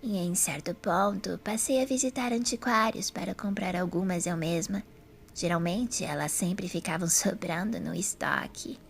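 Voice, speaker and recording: Woman acting a croaky, frail old lady. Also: on the low side, normal speed. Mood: fondly reminiscing. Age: older. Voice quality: hoarse, breathy. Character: serene frail old lady, fond of her statues. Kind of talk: audio drama acting.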